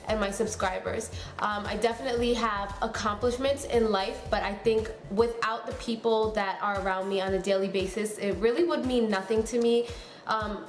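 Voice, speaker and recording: A woman's voice: medium pitch, echoey room. A young woman in her twenties talking to camera as a beauty YouTuber at home, in a casual, chatty way full of "um" and "like". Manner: chatty, many fillers like "um" and "like". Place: room at home.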